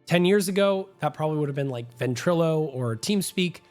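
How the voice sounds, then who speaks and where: A young man hosts a gaming news show, his slightly high voice hoarse and nasal from a cold. He talks in an upbeat, confident, chatty way, and faint music plays under his voice. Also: expressive, fairly quick, darkish tone. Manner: upbeat, talkative, self-assured. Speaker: gaming channel host. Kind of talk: energetic gaming news commentary.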